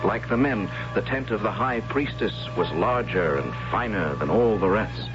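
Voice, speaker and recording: A male actor as a gentle young captive with a fairly low voice, thoughtful and deliberate, in a 1970s radio drama. The sound is dull and lo-fi, with prominent music behind him. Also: lively intonation, natural voice. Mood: reflective narrating.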